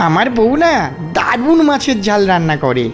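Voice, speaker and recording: A woman's voice: medium pitch, huge pitch swings, moderate pace, faint music behind. A woman voicing a sly male fox in a cartoon fairy tale, with a wheedling, coaxing manner. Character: sly, pleading male fox, a henpecked husband.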